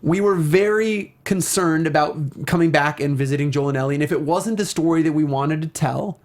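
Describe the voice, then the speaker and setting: A man's voice: fairly high pitch, moderate pace. Guy hosting a show talking games, really into it, punches his words. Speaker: talk show host. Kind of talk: casual chat discussing a video game.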